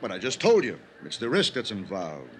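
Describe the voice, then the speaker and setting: An actor playing an anxious, insistent older business manager in an old-time radio drama, speaking earnestly and urgently. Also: male voice, on the low side, huge pitch swings, fairly quick, fairly bright tone. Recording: noisy old radio recording.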